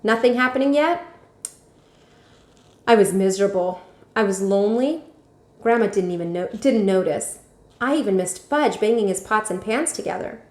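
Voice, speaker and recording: A woman narrating a children's story aloud like a teacher, expressively and with clear phrasing. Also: medium pitch, moderate pace, a little mellow. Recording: echoey room.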